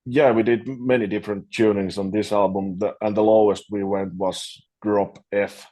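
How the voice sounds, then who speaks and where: Low-voiced man, relaxed guitarist. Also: normal speed. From Finland. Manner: relaxed, repeats words while thinking. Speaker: guitarist in a metal band, interviewee. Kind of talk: casual interview over video call.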